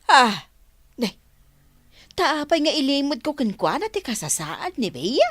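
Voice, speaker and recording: A woman's voice: high voice, sing-song, a little slow, a bit bright, no echo, clean studio sound, heavily acted. A woman playing a gossipy, exclamatory older village woman, a motherly neighbour, in a radio-style drama. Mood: curious.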